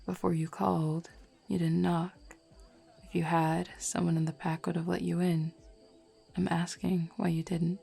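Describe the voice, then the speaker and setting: A woman voicing a flirty, self-assured female werewolf in an intimate roleplay audio, teasing softly and without hurry. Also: on the low side, level tone, measured pace, creaky, breathy edge, soft background music, exaggerated voice. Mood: tender, teasing.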